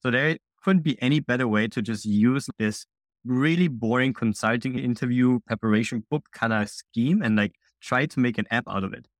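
A young man from Austria, a software founder, answering interview questions in an upbeat, chatty way full of fillers. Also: mid-range voice, moderate pace, no echo, studio quality. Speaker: guest, indie founder.

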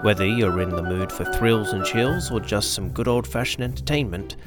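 A man with a fairly low voice presents a radio show over prominent music. He reads a scripted introduction in a polished, promotional way, as the host. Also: moderate pace. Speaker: host and narrator.

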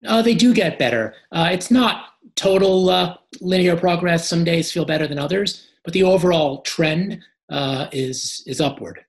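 Male interview guest; hoarse and a little short of breath, measured answers with many fillers. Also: on the high side.

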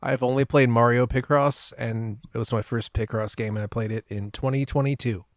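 A man talks casually as a podcast host. His voice is of middling pitch for a man, and the sound is very dry, with no room echo. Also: fairly even intonation, brisk. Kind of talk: casual chat.

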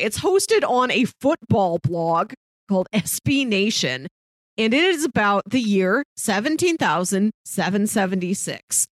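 A woman speaks as a podcast co-host, recommending things in a casual, conversational style. She is earnest and enthusiastic, building points in emphatic lists. Her pitch is average and the sound is dry and close. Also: no echo. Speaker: podcast co-host reviewing shows.

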